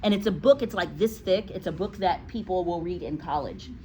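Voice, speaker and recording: A woman's voice: lowish voice, brisk, dark tone, slight background noise. A woman teacher reading a storybook aloud to a class, with explanatory asides.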